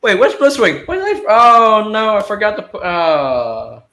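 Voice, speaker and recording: Malaysian guy, American accent, relaxed chatty co-host, tails off his sentences. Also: high voice, a little slow. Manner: chatty, trails off with "so". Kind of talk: casual chat.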